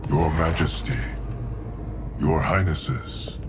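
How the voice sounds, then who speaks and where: An actor playing a grave, loyal guardian of time with a stately, formal delivery in a video game cutscene. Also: male voice, rumbling low, fairly even intonation, slow pace. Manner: stately, formal, matter-of-fact. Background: background music.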